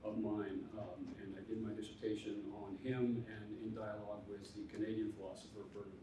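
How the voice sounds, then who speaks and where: Older man, halting in an echoey hall. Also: lowish voice, flat delivery. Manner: halting, many restarts and fillers, earnest. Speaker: panelist theologian. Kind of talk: personal storytelling on a panel. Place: conference hall. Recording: echoey hall from far off, faint noise.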